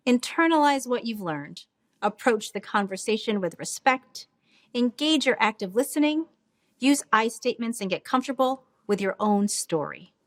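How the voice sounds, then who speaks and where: A woman reading a scripted festival introduction in a steady, read-aloud manner. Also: fairly high pitch. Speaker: festival announcer.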